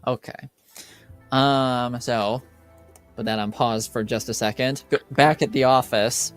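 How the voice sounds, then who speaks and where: A man runs a tabletop roleplaying game as game master. He speaks slowly and conversationally in a smooth voice, feeling his way through the narration. Faint music plays underneath. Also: mid-range voice, steady tone.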